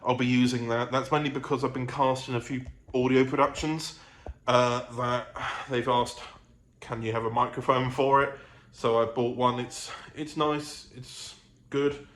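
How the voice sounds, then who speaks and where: A man's voice: medium pitch, flat delivery, a little slow, fairly bright tone. A man chatting casually to a vlog, rambling hesitantly, correcting himself and trailing off. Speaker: vlogger. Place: living room of own apartment. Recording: echoey room with faint ambient noise.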